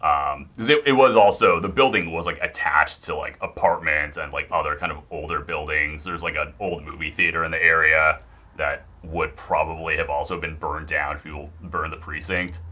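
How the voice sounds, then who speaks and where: Man, low pitch, forceful bright tone, casual rambling podcast guest, hedges often. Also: steady tone, normal speed. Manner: rambling, hedging, frequent "like".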